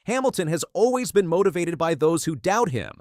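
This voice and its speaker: Lively, higher-pitched male news narrator. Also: a little fast, no room sound, studio quality.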